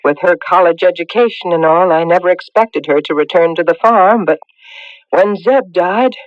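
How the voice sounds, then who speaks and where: An actress playing a kindly, proper middle-aged farm mother in a radio drama, expressive, fussy and hesitant. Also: lowish voice, moderate pace, dry recording, close to an everyday voice. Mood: pleasant, chatty. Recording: dull, dry old radio recording.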